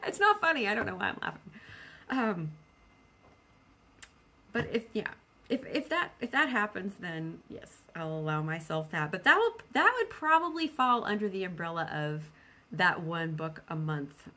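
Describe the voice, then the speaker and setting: A woman's voice: mid-range voice, sing-song, normal speed, sharp tone. A woman talking casually to her viewers as a vlog host, rambling and thinking aloud as she goes. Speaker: host of a book channel.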